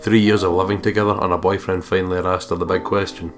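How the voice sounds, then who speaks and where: Man, lowish voice, casual let's play commentary, jokey and sweary, reads narration aloud, music behind. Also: little pitch movement, fast talker. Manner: jokey, sweary, breaking into silly put-on voices.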